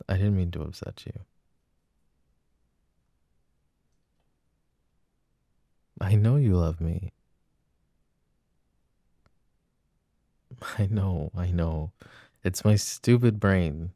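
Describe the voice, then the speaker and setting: A man's voice: deep voice, vocal fry, no echo, clean studio sound. A man playing a jealous, insecure but devoted boyfriend in an intimate roleplay audio, speaking in a hesitant, earnest way. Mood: apologetic, soothing. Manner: hesitant, earnest, soft-spoken pleading. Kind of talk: intimate boyfriend roleplay ASMR, acted monologue.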